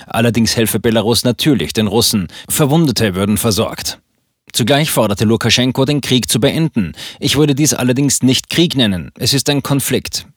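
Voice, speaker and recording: A man reading scripted news articles for a podcast in a formal, measured manner. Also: medium pitch, no room sound, studio quality.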